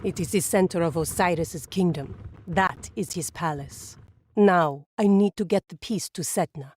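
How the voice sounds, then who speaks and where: Woman playing a solemn, low-voiced Egyptian priestess. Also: normal speed, darkish tone, a little hiss or hum, lightly acted. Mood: determined. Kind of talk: video game cutscene acting.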